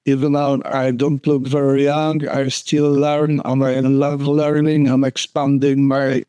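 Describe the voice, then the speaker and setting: An older man with a Polish accent talks at a slow, deliberate pace, earnest and unhurried, breaking off and restarting his phrases. His voice is dry and close, with no room around it. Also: mid-range voice, level tone, mellow, smooth, no room sound. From Poland. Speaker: guest photographer. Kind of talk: casual interview.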